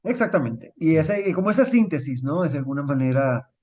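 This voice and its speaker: A man's voice: fairly high pitch, very animated, fairly quick, a little mellow. A young Venezuelan man with a Venezuelan accent touched by Mexican speech, a writer talking as a guest, thoughtful and full of fillers. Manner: thoughtful, many fillers like "este" and "pues". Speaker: author guest. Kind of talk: interview.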